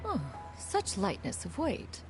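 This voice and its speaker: An actress playing a regal, lofty bird immortal in a fantasy video game, with stately, formal and haughty speech. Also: female voice, fairly high pitch, wildly swooping pitch, slow pace, loud music behind the voice, heavily acted. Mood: lofty, composed. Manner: stately, haughty, archaic phrasing.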